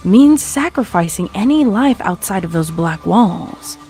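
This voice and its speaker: A man reading a scripted dark horror story as its narrator. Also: sits high, animated, measured pace. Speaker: fan fiction narrator.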